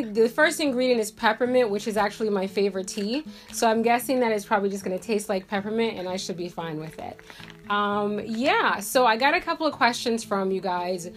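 A woman in her thirties from Jamaica, congested from a cold, chatting casually to camera in her bedroom with lots of fillers. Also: fairly high pitch. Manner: chatty, rambling, many "like" and "um" fillers. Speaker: beauty vlogger. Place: bedroom at home, indoors.

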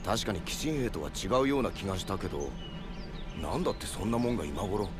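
Brash young soldier, animated delivery. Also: male voice, low voice, quick pace, background noise. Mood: gruff, wary. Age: young adult. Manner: rough, casual, confident. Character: brash, easygoing, burly young soldier, loyal friend. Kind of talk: Japanese video game cutscene acting.

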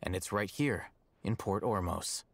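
A man voicing a calm, aloof, calculating young scholar in a video game, speaking in a measured, dry, formal way. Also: sits low, background noise. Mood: cool, composed. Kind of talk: scripted video game dialogue.

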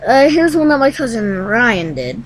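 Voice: medium pitch, sing-song, normal speed, slight background noise, poor audio. A young teenager hosting a casual screen-recorded tutorial in a rambling, hesitant way. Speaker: tutorial host. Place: room at home.

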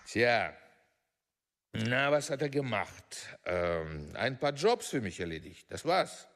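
An actor in a radio drama playing a pompous, impatient, blustering veteran film producer. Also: male voice, medium pitch, big pitch swings, slow, fairly bright tone, in a large hall, exaggerated voice. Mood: dismissive, chatty. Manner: pompous, hesitant filler sounds, switches languages, barks orders.

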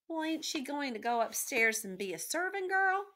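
Woman doing a loud, naive servant girl. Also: high voice, very animated, moderate pace, voice pushed up, heavily acted. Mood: pleading. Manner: loud, rustic, ungrammatical speech. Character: simple, neglected servant girl, naive, wistful, stubborn. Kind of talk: storybook read-aloud character voice.

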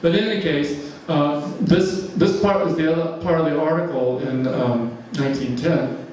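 A man's voice: on the high side, normal speed, darkish tone, a little hiss or hum. Older man talking in an echoey hall. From Georgia, USA. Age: 70s. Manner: rambling, many fillers, chuckles often. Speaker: author giving a talk. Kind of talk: informal lecture with slides. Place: large church hall. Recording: echoey hall, mic far off.

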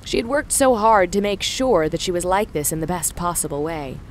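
A woman's voice: fairly low pitch, lively intonation, moderate pace, a little hiss or hum. A woman reading scripted story narration for an audio drama.

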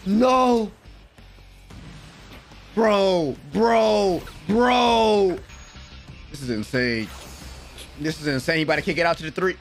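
A young man doing excited live reaction commentary, slangy and exclamatory, repeating words, on a close mic in a small room at home. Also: high-pitched, slow pace, long pauses, faint music behind. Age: young adult. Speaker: anime reaction host. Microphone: close desk microphone with headset on.

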